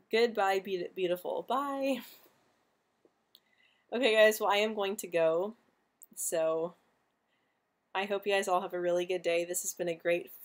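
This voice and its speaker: A young woman hosting a casual live stream, talking with a frequent stutter that repeats sounds and words. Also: lowish voice. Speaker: livestream host answering viewer questions.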